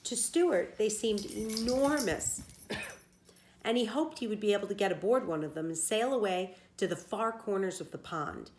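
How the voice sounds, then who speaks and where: A woman reads a storybook aloud in a teacher's manner. She speaks at a middling pitch and adds simple, plain explanations of words as she goes, as if for a class of children. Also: moderate pace, a little mellow, a little hiss or hum.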